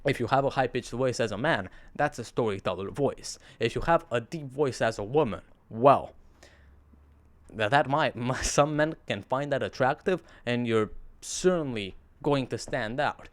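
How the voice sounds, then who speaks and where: A teenage boy with a surprisingly deep, radio-like voice talks straight to his audience. His delivery is earnest and rambling, full of restarts and the odd sarcastic aside, at an ordinary middle pitch for a man. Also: moderate pace. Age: teens. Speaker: vlogger. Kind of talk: casual monologue to camera.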